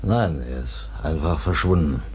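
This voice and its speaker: An actor playing a grave family man who speaks haltingly and solemnly in a German radio drama. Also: very deep voice, animated, a little mellow, husky, heavily acted.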